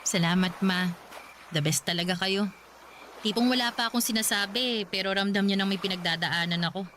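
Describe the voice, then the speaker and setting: A woman playing a good-natured young market woman in a radio drama, in a conversational, gently protesting way. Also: medium pitch, fairly even intonation, brisk, slight background noise, lightly acted. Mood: confiding. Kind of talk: Tagalog radio drama acting.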